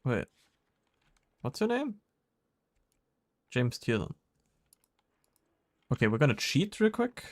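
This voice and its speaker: A man's voice: mid-range voice, big pitch swings, slow, long pauses, no room sound. A man streaming a game with casual commentary, wryly musing aloud to himself. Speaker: live streamer playing a detective game.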